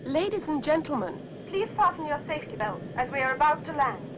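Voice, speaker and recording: A woman's voice: high-pitched, expressive, a little fast. A woman playing a composed airline stewardess reassuring her passengers, in 1960s film acting over engine drone. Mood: forced calm. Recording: noisy old film soundtrack, engine drone.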